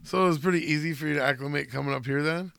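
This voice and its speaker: A man's voice: a little high, very fast, vocal fry, fairly bright tone, clear, resonant tone, no room sound. A man co-hosting a podcast, laid-back and teasing, asking short follow-up questions. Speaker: podcast co-host.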